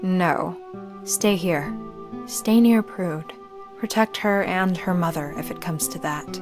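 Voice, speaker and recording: A woman's voice: on the low side, slow pace, music in the background, voice pushed down. A woman voicing a serious, tough but considerate young necromancer in a visual novel read aloud, speaking plainly and earnestly. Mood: resolute.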